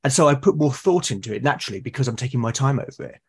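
A man's voice: mid-range voice, animated, racing, gravelly, a little mellow, husky. A man in a conversational interview as a podcast guest, explaining his creative process and often repeating words as he thinks aloud. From Britain.

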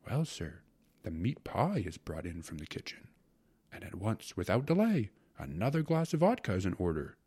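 A man voicing a gluttonous, syrupy court secretary in a storybook reading, breathy and savoring every word as he wheedles and repeats himself. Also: sits low, big pitch swings, moderate pace, mellow, no room sound, full character voice. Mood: rapturous, savoring. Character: gluttonous, syrupy male court secretary rhapsodizing about food.

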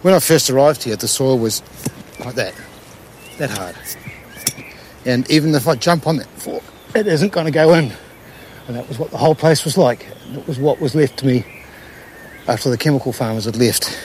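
A man's voice: medium pitch, moderate pace, pauses often, darkish tone, slight background noise. A man explaining hands-on gardening in an earnest, plain-spoken way, repeating words for emphasis. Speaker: organic gardener. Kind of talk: informal explanation and demonstration to camera in a documentary.

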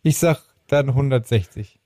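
A male podcast co-host, heard in a dry, close recording. His voice sits at a middle pitch, and he banters casually with teasing, mock-ranting delivery. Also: moderate pace, dark tone, no room sound.

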